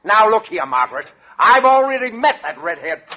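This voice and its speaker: Blustering high-pitched American school principal. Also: male voice, big pitch swings, pushed and bright, exaggerated voice. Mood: blustering, indignant. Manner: pompous, blustering, quick to bark. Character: irascible, blustering middle-aged male school principal. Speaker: actor in a radio comedy. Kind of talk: 1940s-50s American radio comedy acting. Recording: dull old radio broadcast recording.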